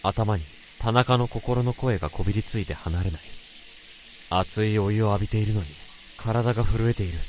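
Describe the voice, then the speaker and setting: An actor playing a troubled male office worker who narrates his own story in a radio drama, in a brooding, halting way. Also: on the low side, a little mellow, a little hiss or hum, lightly acted. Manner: brooding, halting inner monologue. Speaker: narrator and protagonist. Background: music.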